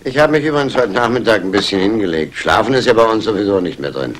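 An actor playing a brisk police inspector with clipped, authoritative delivery in a 1960s crime radio play. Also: male voice, on the low side, animated, lightly acted. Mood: brisk, commanding. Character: brisk, commanding male Scotland Yard police inspector. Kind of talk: 1960s German radio crime drama acting. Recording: faintly noisy vintage radio recording.

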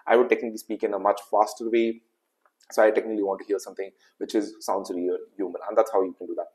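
A young man with an Indian English accent talks fast through a screen-recorded tutorial. His voice sits fairly low and his talk is loose and full of fillers, recorded on a webcam mic indoors. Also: little pitch movement, darkish tone. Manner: quick, loose, with frequent fillers. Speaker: tutorial host. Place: indoor room.

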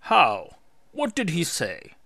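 A dignified old father god , done by a male storyteller, measured and reproving. He questions and admonishes with concern in a dry, close recording. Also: on the high side, wildly swooping pitch, bright, forceful tone, dry recording, voice pushed up, heavily acted. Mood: curious, amused.

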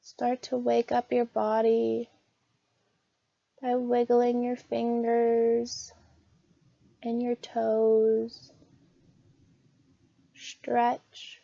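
A woman narrating a scripted guided meditation in a soothing, gentle, unhurried manner. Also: fairly high pitch, monotone, vocal fry, dry recording, highs cut off.

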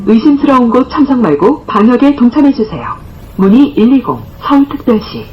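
Woman reading a formal public notice, mid pitch, dull sound, lots of vehicle noise behind. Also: lively intonation, brisk. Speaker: public service announcer. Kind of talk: scripted public health announcement.

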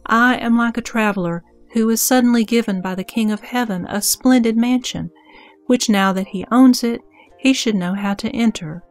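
A woman playing a reverent male disciple pleading with his master in a scripture audio drama, formal and measured. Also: on the high side, close to an everyday voice. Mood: devout pleading. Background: music.

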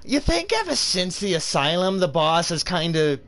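Strained, high-pitched nervous goon. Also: male voice, very animated, normal speed, a bit bright. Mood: worried, confiding. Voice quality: high, strained, throat-tearing. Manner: hesitant, confiding cartoon goon patter. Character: uneasy, loyal male henchman goon of a crazy boss.

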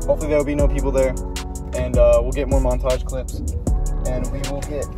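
A man's voice: mid-range voice, level tone, fairly quick. A young man chatting casually in a vlog, rambling informally with some slang. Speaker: fitness vlogger. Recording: loud music under the voice. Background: music.

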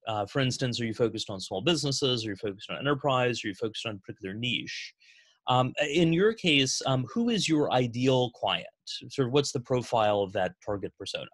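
A man hosting a business Q&A on a video call, speaking in a measured way with frequent fillers. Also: medium pitch, lively intonation, fairly bright tone, dry recording. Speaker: host of agency Q&A.

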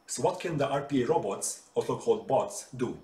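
A man's voice: mid-range voice, lively intonation, fairly quick, warm tone, far from the mic. Man explaining to kids in an echoey room. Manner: simple, patient explanations with hand gestures. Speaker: RPA developer teaching kids. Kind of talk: friendly explainer for children. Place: bright living room with sofa. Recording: roomy echo, voice from off-mic.

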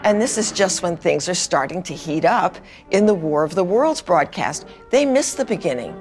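A woman's voice: lowish voice, sing-song, moderate pace, a little mellow, faint music behind. A woman in her sixties, a historian, explaining in a conversational interview.

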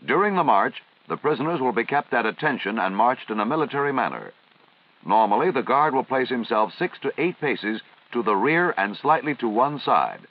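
An American man reading formal, measured, instructional narration in the style of a 1950s military training film. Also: medium pitch, very animated. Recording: dull, band-limited vintage film soundtrack.

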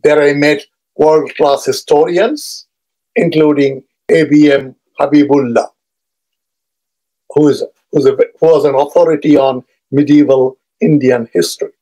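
A husky-voiced man in his seventies, with a voice that sits on the higher side for a man. His is Urdu, and he talks unhurriedly into a webcam from a home study, wandering between thoughts and filling pauses with "uh". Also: steady tone, mellow, low-quality recording. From Bangladesh. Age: 70s. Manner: measured, discursive, pausing often with "uh". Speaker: historian vlogger. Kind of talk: personal introduction lecture. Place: home study lined with bookshelves. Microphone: webcam at desk, seated medium shot.

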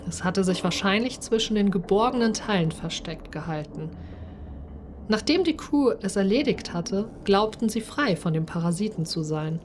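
A woman narrating a first-person story in an expressive, dramatised way. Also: on the low side, a little hiss or hum. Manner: expressive, acts out the character's speech. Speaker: narrator and player of a solo role-playing game.

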